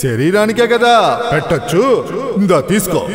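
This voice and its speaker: A man's voice: high-pitched, sing-song, moderate pace. A man voicing an obliging, simple-minded wish-granting ghost in a children's cartoon, eager to please. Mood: eager, clueless. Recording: long reverb, music behind.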